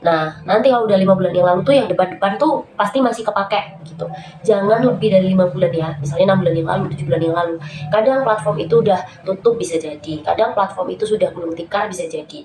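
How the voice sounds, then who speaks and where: A woman's voice: mid-range voice, fast talker, darkish tone. A woman hosting a casual tutorial vlog, nasal and stuffed up from a cold, chatting with lots of fillers and calling her viewers guys. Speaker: YouTube host giving writing tips. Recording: roomy echo, faint background noise.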